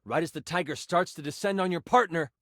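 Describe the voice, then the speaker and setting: An actor playing an expressive, playful game master who narrates a fantasy battle in a tabletop roleplaying game. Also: male voice, a little high, brisk, no echo, studio quality, lightly acted. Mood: suspenseful. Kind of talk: dramatic tabletop game narration in second person.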